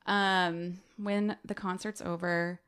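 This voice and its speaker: A woman co-hosting a podcast in casual book-discussion chat, animated and jokey in manner. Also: fairly low pitch, slow, smooth. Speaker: podcast co-host.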